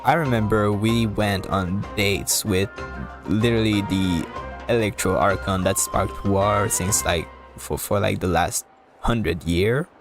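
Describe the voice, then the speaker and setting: Low-voiced man chatting on a game stream. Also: takes it slowly, over music. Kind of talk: casual livestream chat and game commentary.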